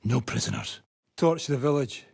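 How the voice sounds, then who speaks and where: Gravelly, swaggering mercenary gang leader. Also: male voice, mid-range voice, normal speed, darkish tone, dry recording, clean studio sound. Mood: menacing, commanding. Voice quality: raspy, gravelly. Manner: brash, sardonic, commanding, swearing freely. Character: foul-mouthed, swaggering, gruff male mercenary gang leader. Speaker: video game character voice actor. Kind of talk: game dialogue, coarse medieval banter and battle shouts.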